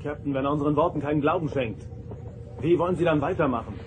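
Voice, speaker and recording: An actor playing a resolute, dutiful starship first officer in a radio drama, with a direct, military manner. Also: male voice, a little high, fast talker, faint music behind, natural voice. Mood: earnest.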